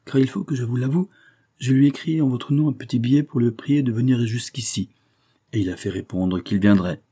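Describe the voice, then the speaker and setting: A man voicing a brisk, shrewd male mentor in an audiobook, emphatic and peremptory with a wry, jovial streak. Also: mid-range voice, dark tone, husky, pitched above the performer's own voice, close to an everyday voice. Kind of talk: audiobook character dialogue.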